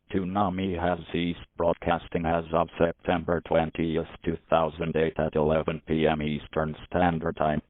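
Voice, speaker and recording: Male emergency announcer, synthetic and formal, very low pitch, narrow phone-like audio. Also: no echo. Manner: flat, formal. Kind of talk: scripted emergency alert announcement. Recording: tinny phone-like band-limited audio.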